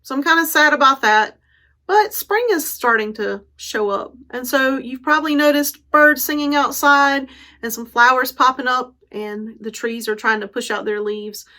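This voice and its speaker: A woman's voice: high voice, normal speed, sharp tone. A woman children's librarian presenting and reading aloud at storytime, speaking directly and simply to young children with encouraging invitations.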